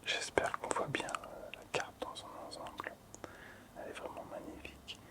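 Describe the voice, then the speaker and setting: A man whispers breathily as an ASMR host presenting collectibles, lingering and repeating words softly. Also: moderate pace.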